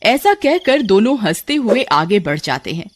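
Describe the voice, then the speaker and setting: A narrator telling a cartoon horror story in steady, scripted storytelling. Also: medium pitch, measured pace, dry recording.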